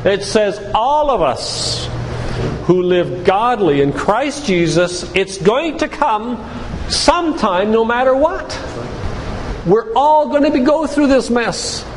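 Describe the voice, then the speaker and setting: A man's voice: very high voice, expressive, slow. Man preaching in an echoey hall. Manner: emphatic, preacherly, with rhetorical questions. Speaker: physician giving a faith-based lecture. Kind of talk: sermon-like church lecture. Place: church, speaking to a congregation. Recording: echoey church hall with background noise.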